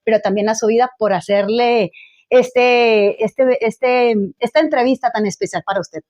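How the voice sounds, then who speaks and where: Female pastor host, high voice, effusive, halting restarts. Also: very noisy. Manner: effusive, restarts and repeats words. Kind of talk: church talk show interview.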